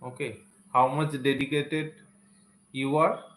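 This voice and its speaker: Indian-accented male English teacher, Santali native, earnest and repetitive, roomy echo, mid-pitched. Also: level tone, slow, fairly bright tone, a little hiss or hum. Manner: earnest, repeats phrases, sprinkles "okay". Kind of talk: live-stream motivational talk to learners. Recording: echoey room.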